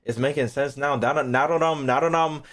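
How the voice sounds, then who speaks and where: A man's voice: fairly high pitch, fairly quick, fairly bright tone. Rambling, slangy man streaming. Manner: rambling, repeats phrases, trails off mid-thought; slangy, heavy swearing. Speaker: gaming streamer, YouTuber. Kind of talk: casual live-stream commentary, thinking aloud.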